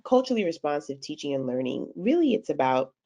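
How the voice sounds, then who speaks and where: Stuffy-nosed woman guest, mellow voice. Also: low voice, sing-song, vocal fry, muffled recording. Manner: articulate, measured, with fillers. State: stuffy nose from a cold. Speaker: guest educator. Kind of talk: webinar interview. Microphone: video call.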